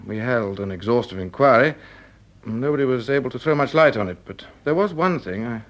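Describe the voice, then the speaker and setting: A man's voice: medium pitch, lively intonation, brisk, close to an everyday voice. An actor playing an obliging, earnest scientist in a radio drama, speaking politely and precisely as he explains things. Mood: uneasy, confiding.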